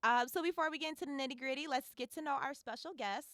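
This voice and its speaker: A woman co-hosting a podcast, upbeat and asking her guest quick questions. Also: sits high, fairly bright tone, no echo, clean studio sound. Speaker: podcast co-host.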